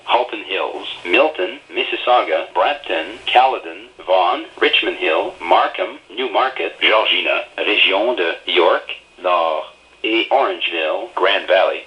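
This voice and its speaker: A man's voice: deep voice, expressive, a little slow, muffled recording. A synthetic man's voice formally reading an automated, scripted weather bulletin as a radio announcer.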